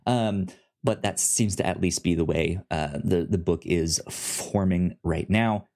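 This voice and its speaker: Young male co-host, low-pitched, heavy stutter on word starts, easygoing chat. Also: a little slow, darkish tone. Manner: stutters heavily on first sounds. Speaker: podcast co-host. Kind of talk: casual chat.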